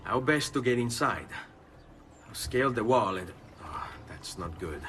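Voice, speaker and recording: A man's voice: medium pitch, expressive, a little slow, bright, forceful tone. An actor playing a confident, charming young nobleman adventurer with an Italian accent in a video game. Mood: casual, curious. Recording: music behind the voice.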